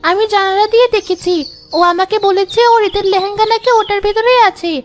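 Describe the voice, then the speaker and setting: Cartoon little village girl: very high voice, eager, pleading, stubbornly hopeful. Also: fairly even intonation, brisk. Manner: childlike, earnest pleading. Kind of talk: children's cartoon dialogue. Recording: faint music behind the voice.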